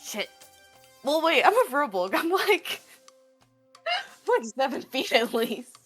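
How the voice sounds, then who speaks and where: A woman's voice: high voice, sing-song, takes it slowly, fairly bright tone, soft background music, pitched above the performer's own voice, full character voice. A woman voicing a tall, unsure, defensive spaceship engineer in a casual improvised tabletop game. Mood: uneasy.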